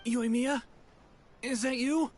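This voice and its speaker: A man's voice: very high voice, steady tone, slow, a little mellow, slight background noise. An actor playing an anxious, apologetic man on the run in a video game, speaking in a hesitant, earnest, subdued way. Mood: urgent, flustered.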